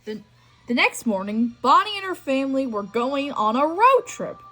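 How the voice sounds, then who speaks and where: A woman reads a children's storybook in a high voice with very lively intonation. She sometimes catches on a word and repeats it, with music playing behind her. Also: normal speed. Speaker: storybook reader. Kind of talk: scripted read-aloud narration of a children's story.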